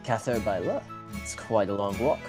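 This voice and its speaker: A man's voice: on the low side, animated, normal speed, lightly acted. A teenage male actor playing a friendly, protective tavern keeper in a student fantasy radio drama, with music behind. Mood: hospitable. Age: teens. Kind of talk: student radio theatre acting.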